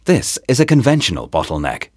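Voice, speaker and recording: A man reading a scripted promotional voice-over in short, deliberate, presentational phrases, as a product narrator. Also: on the low side, huge pitch swings, a little mellow. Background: background music.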